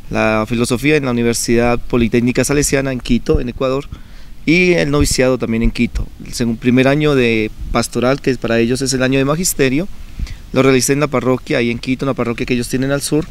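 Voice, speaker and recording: A young man from Colombia, a seminarian, calmly and earnestly explaining in a televised interview, with frequent fillers. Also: medium pitch, slight background noise. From Colombia (Bucaramanga, Santander). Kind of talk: conversational TV interview.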